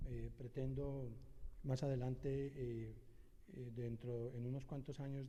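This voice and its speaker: A middle-aged Colombian man introducing himself as a social entrepreneur, halting with many filler sounds. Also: mid-range voice, dark tone, in a large hall, highs cut off. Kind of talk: short personal introduction on a panel.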